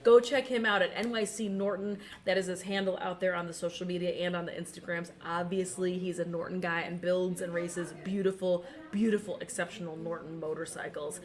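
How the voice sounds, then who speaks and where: A woman's voice: fairly low pitch, steady tone, fairly quick, few pauses. A woman hosting a casual behind-the-scenes broadcast from a race control tower, talking in an upbeat, chatty way and laughing often.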